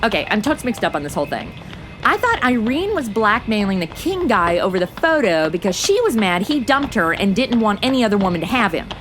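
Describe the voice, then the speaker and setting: A woman playing a sassy, animated young sidekick. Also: mid-range voice, fairly quick, background noise, close to an everyday voice. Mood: baffled. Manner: slangy, blunt, sarcastic. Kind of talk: comedy audio drama acting.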